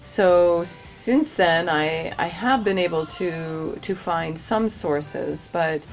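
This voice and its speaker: Slow, low-voiced woman with many fillers. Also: soft background music, low-quality recording. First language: English. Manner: hesitant, many fillers and restarts. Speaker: course participant, general manager. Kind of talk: interview answers.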